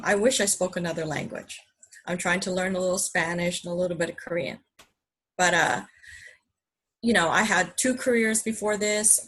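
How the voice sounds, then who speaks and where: A woman's voice: mid-range voice, fairly bright tone, breathy edge. A woman from Hawaii giving an earnest, enthusiastic motivational presentation, often asking her listeners rhetorical questions. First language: English. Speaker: business presenter.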